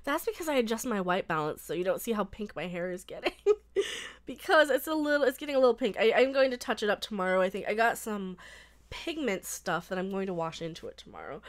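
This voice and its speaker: A woman's voice: medium pitch, sing-song, fast. A young woman with a cold and a stuffy nose, from Colorado, hosting a casual live stream and chatting with viewers in a rambling way with frequent laughs. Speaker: livestream host.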